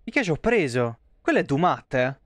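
A man's voice: a little high, very animated, a little fast, dry recording, clean studio sound. A man, muttering casual, foul-mouthed commentary as he streams a game. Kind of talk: casual live gameplay commentary. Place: small indoor room, streaming setup.

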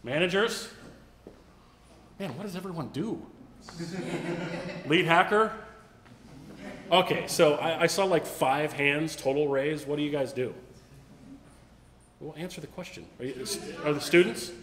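A man's voice: fairly high pitch, measured pace, pauses often, fairly bright tone, big-room sound. Blunt, joking man on a conference stage. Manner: blunt, salty, joking with swearing. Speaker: conference speaker. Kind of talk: casual conference talk. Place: large conference room with stage.

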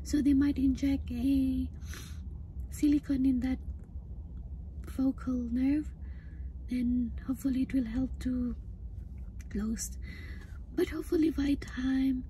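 A woman in her thirties with a hoarse, breathy voice that often breaks into a whisper, upset and halting as she gives a casual personal update to camera. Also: sits high, mellow, low-quality recording. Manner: halting, hesitant. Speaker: vlogger. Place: inside a parked car. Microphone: phone at arm's length. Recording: faint background noise in car.

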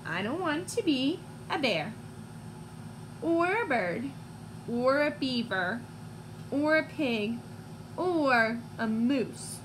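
A woman voicing a discontented young male storybook bunny, listing things with emphatic, drawn-out words. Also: sits high, lively intonation, noisy background. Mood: wistful, determined.

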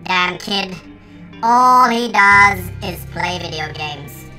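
A man's voice: high voice, animated, slow pace, pushed and bright, faint music behind, pitched above the performer's own voice, exaggerated voice, poor audio. A man voicing a scornful, dismissive adult authority figure grumbling about kids in a dramatic reading. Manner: sneering, dismissive.

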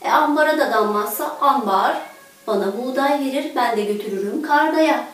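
A woman's voice: high-pitched, moderate pace, lightly acted. A woman voicing a small male flea in a children's tale, pleading eagerly and insistently. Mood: urgent pleading. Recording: echoey room, distant microphone.

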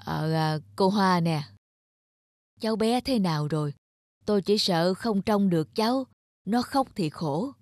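An actor playing a grumpy, aloof middle-aged male neighbour in a cartoon, talking in a measured, grumbling way. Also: sits high, lively intonation, no room sound, clean studio sound. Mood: polite. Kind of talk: animated cartoon acting.

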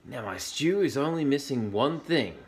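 A man's voice: mid-range voice, expressive, moderate pace, voice pushed up, lightly acted. A boisterous, scheming dingo from a children's storybook, acted out by a man, gleeful and greedy. The voice swoops widely and exclaims theatrically. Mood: eager, gleeful.

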